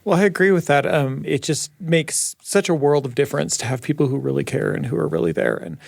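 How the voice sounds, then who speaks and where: Gravelly, higher-pitched man in casual discussion. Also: brisk, a little mellow, no echo, clean studio sound. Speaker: radio show panelist. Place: radio studio.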